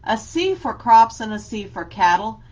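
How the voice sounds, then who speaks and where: A woman librarian leading a children's story time, reading a picture book aloud and explaining gently. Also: fairly high pitch, very animated, moderate pace, muffled recording.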